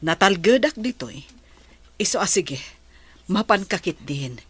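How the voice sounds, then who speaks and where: Babaeng gumaganap na maalalahaning matandang ina. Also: female voice, fairly low pitch, very animated, measured pace, a bit bright, husky, exaggerated voice. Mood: motherly. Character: older motherly woman, caring and stubborn. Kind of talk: radio drama acting.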